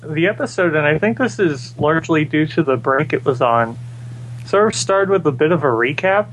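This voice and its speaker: Male podcast co-host, hesitant and rambling, slightly high voice over a faint hum. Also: expressive, normal speed, a bit bright, rough recording. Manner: rambling, hesitant with many fillers. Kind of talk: casual chat reviewing an anime episode.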